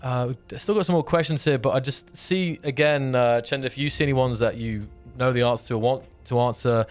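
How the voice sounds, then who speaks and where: A man's voice: medium pitch, a little fast, soft background music. A man hosting a casual livestream, reading out viewer questions and rambling as he repeats himself. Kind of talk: casual chat.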